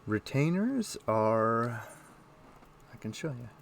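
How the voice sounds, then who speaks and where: A man streaming a game, chatting casually and thinking aloud in a halting, muttering way as he plays. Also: mid-range voice, very animated, vocal fry, a little hiss or hum. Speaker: game livestreamer. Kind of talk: casual livestream chat with gameplay commentary.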